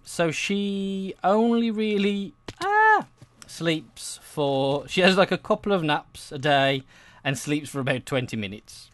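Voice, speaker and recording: Slow-paced, rambling man chatting over gameplay. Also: on the high side, a bit bright, no room sound. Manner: hesitant, meandering, self-interrupting, chuckly. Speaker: gaming video host. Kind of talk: casual rambling gameplay commentary.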